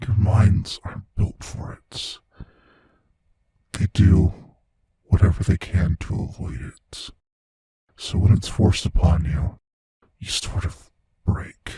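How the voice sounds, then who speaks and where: A man voicing an ancient immortal monster in a horror ASMR roleplay, hushed and breathy, slow and menacing, world-weary yet savoring fear. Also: no room sound, exaggerated voice. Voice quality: hushed, husky, breathy low voice. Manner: slow, menacing, philosophical, intimately taunting. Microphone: close studio mic, dry.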